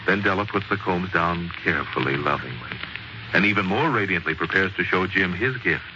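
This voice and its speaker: A man narrating as a 1940s radio storyteller, in a dramatic, measured, scripted style. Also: low-pitched, expressive, background noise. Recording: muffled 1940s radio recording. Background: prominent music.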